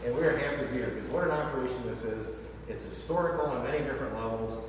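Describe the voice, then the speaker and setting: A man's voice: mid-range voice, racing. A man hosting a casual interview, jokey and chatty with frequent laughs. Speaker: host. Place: large distillery hall indoors. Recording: echoey hall from far off with noise.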